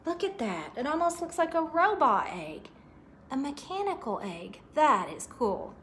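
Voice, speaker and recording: A woman's voice: high-pitched, very animated, a little slow, fairly bright tone, a little hiss or hum. A woman storyteller reading a picture book aloud expressively to children, adding asides about the pictures.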